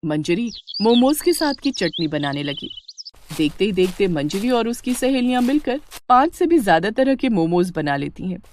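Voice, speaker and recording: A woman narrating a scripted moral story in an expressive storytelling manner. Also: medium pitch, moderate pace, a little hiss or hum.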